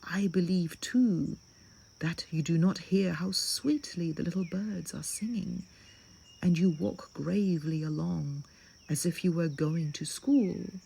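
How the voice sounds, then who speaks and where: A woman's voice: fairly low pitch, takes it slowly, gravelly, mellow, slightly rough, full character voice. A woman voicing a crafty, sweet-talking male wolf, the villain of a storybook tale, in a coaxing, sly manner.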